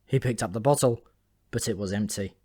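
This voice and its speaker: A man's voice: fairly low pitch, fairly quick, mellow, husky, dry recording, studio quality. A man reading scripted story narration as an audiobook narrator.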